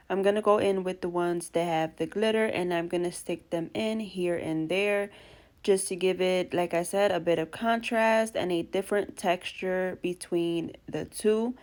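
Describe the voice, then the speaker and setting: A young woman with a stuffy nose from allergies, chatting warmly through a DIY craft tutorial and gushing to her viewers. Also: on the low side, steady tone, moderate pace. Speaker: craft vlogger.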